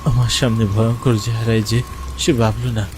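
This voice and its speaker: A man's voice: medium pitch, level tone, moderate pace, background noise. A man narrating a first-person ghost story for a cartoon in a dramatic way. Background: music under the voice.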